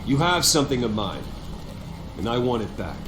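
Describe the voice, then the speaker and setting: An actor playing a composed, stern wealthy gentleman hero in a video game, speaking in a firm, challenging, restrained way. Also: mid-range voice, sing-song, moderate pace, noisy background. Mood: firm, wary. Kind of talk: dramatic video game voice acting. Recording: echoey, loud music underneath.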